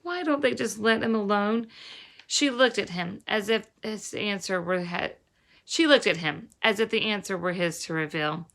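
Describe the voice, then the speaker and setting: A woman storyteller reading a children's novel aloud in an expressive style. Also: medium pitch, normal speed. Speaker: reader, teacher-like storyteller.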